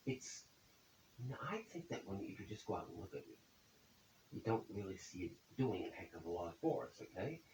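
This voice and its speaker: A man's voice: low voice, very animated, a little fast, darkish tone. A man in his seventies answering interview questions about his business in a folksy way, often ending points with "okay?". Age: 70s. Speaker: accountant, business owner. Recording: roomy echo, distant mic, faint hiss.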